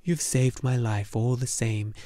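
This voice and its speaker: A man's voice: mid-range voice, fairly even intonation, unhurried, warm tone, dry recording, close to an everyday voice. Man playing a cheerful luck dragon, buoyant, hopeful, dry close sound, storybook.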